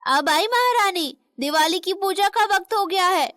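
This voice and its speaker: A woman voicing a stern but loving elderly Indian mother-in-law in an animated moral story. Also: very high-pitched, measured pace, no room sound, studio quality, heavily acted. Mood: annoyed, sarcastic.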